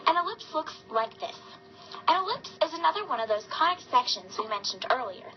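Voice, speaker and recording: A woman's voice: high voice, lo-fi sound. A woman voicing a female sheep puppet who presents a maths lesson to kids.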